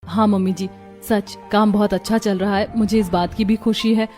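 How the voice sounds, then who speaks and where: Woman doing an earnest young daughter-in-law, cartoon drama, expressive, mid pitch, music behind. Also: normal speed, close to an everyday voice. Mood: grateful. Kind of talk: Hindi cartoon dubbing.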